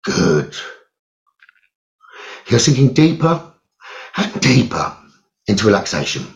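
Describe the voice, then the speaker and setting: A man leading a guided meditation in a soothing, measured way, leaving long pauses between phrases. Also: on the low side, big pitch swings, creaky. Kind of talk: slow guided meditation narration. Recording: roomy echo, dull lo-fi sound.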